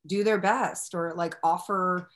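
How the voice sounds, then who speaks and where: Animated woman with a low, full voice. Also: unhurried. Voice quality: lower, bigger voice. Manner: animated, candid, frequent "like" fillers. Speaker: guest actor. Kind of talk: casual interview conversation.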